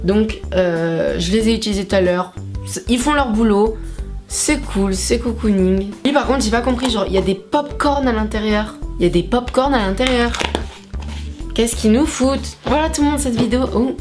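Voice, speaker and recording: A woman's voice: fairly high pitch, moderate pace. Chatty, swearing woman vlogger over music. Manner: chatty, swears often, exclaims at products. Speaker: beauty haul vlogger. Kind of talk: casual unscripted haul chat. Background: background music.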